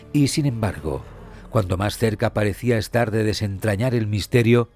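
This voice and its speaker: A man narrating a scripted mystery story in a solemn, dramatic manner with deliberate pauses and a husky voice. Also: lowish voice, dark tone. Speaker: podcast narrator. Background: background music.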